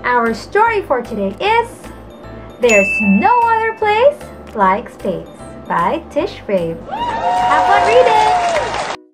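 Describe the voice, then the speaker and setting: A young woman teacher introducing a story to children in a sing-song, unhurried manner. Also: very high voice, huge pitch swings, a crowd in the background, poor audio. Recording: music and chimes behind the voice. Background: music with chimes.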